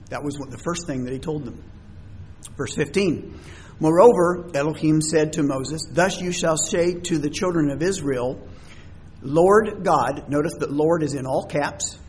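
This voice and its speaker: A man preaching a sermon in a conversational teaching style. He pauses often and repeats words, and says his voice is already tiring. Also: on the high side, slight background noise. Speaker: pastor.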